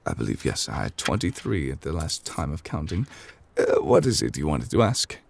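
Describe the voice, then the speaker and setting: A calm, scholarly ancient god, as a man puts him on for an ASMR roleplay. He talks with very deep, gravelly gravitas and unhurried, formal phrasing. The voice stays close to the microphone and sometimes drops to soft near whispers, in a dry room. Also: no room sound, heavily acted. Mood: lecturing. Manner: formal, measured, old-fashioned phrasing.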